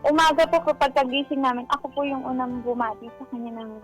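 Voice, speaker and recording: A Filipino woman with a distinctly high voice calls in to share something personal. She speaks in a polite, deferential way, confiding as she goes. Music plays softly behind her. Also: fast talker. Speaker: caller seeking advice.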